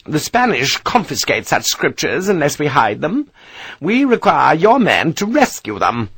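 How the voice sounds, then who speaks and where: A man putting on a shrill, bossy Englishwoman. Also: huge pitch swings, a little slow, fairly bright tone, breathy edge, no room sound, full character voice. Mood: scolding. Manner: bossy, scolding, bellowing. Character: domineering stout Englishwoman, shrill and imperious.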